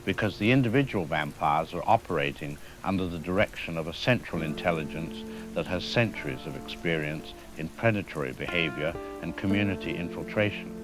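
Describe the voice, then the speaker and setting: A man playing a grave academic historian in a radio drama, speaking in a formal, measured, lecturing way. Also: low-pitched, over music, lightly acted, poor audio. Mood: concerned, grave. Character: grave male academic historian expert on vampire folklore. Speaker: expert interview guest.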